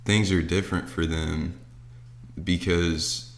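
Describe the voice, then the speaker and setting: Young male co-host, easygoing casual chat, deep low voice. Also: flat delivery, slow. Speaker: podcast co-host.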